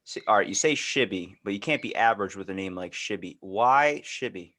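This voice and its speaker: A man's voice: lowish voice, brisk. A man hosting a casual chat, bluntly and informally swapping anecdotes. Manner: blunt, informal, sweary, animated retelling. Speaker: podcast host.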